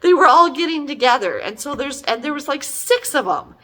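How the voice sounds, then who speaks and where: A woman's voice: sits high, lively intonation, fairly quick, bright, forceful tone. A middle-aged woman giving a casual, chatty book review in an exasperated, emphatic, conversational way.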